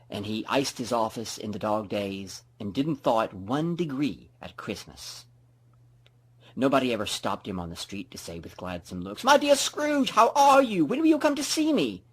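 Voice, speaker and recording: A man reading a classic story as dramatic scripted narration, with theatrical, deliberate emphasis. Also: fairly low pitch, slightly rough, poor audio.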